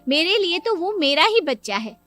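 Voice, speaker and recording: A woman playing a gentle, earnest, childless young wife and affectionate aunt in a moral story. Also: very high-pitched, sing-song, moderate pace, faint music behind, exaggerated voice. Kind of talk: dramatised Hindi moral story acting.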